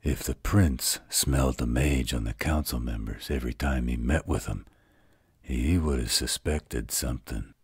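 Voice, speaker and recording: A man playing a gruff, protective werewolf mate and father figure in a roleplay audio drama, blunt and teasing, with a husky voice. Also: unhurried, no room sound, studio quality, heavily acted. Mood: serious, questioning. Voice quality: very deep, husky, gravelly.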